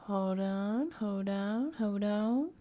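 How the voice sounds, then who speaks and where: A woman's voice: mid-range voice, extremely slow, dark tone. A woman live streaming her reactions to a video in casual chat, with sarcastic, teasing asides.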